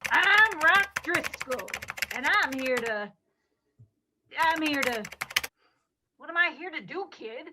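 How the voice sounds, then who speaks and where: A woman's voice: high-pitched, expressive, takes it slowly, pauses often, heavy background noise. A woman playing a cocky, burly male bank robber from the Wild West in a radio play, with hammy, melodramatic delivery. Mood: cocky, swaggering.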